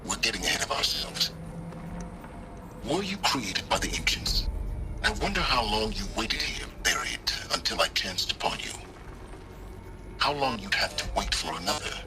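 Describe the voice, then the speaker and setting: An actor playing an enigmatic, calculating scholar who speaks in a measured, wry manner with deliberate pauses. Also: male voice, mid-range voice, sing-song, background noise. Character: enigmatic scholarly male outcast, calm and calculating.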